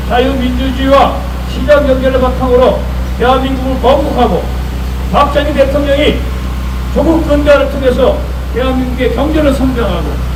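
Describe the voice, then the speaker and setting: A man giving a political protest speech in an emphatic, oratorical way with deliberate phrasing. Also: very high-pitched. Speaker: political rally speaker. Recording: echoey, noisy street PA, distant mic.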